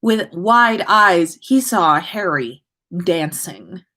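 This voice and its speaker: Animated woman hosting a casual story livestream. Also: on the low side, drawn-out words. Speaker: podcast livestream host reading listener stories. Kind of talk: casual livestream chat and expressive story reading.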